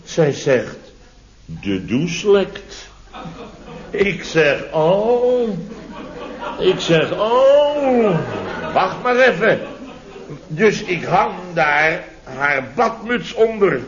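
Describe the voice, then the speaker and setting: An actor playing a flustered, blustering married building contractor boss in a radio comedy serial, excitable and stammering, repeating himself. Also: male voice, sits high, extremely slow, pauses often, exaggerated voice. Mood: animated storytelling. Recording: muffled vintage radio recording.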